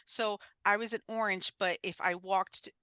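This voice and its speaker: Bright, animated woman host. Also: medium pitch, moderate pace, smooth, dry recording. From United States, Uruguayan family. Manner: animated, exclaiming, laughs often. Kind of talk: casual interview chat.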